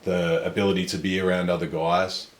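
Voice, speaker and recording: Very deep-voiced man. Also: level tone, a little hiss or hum. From Australia. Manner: measured, self-assured storytelling. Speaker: guest. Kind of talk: casual conversation. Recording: echoey room with faint ambience.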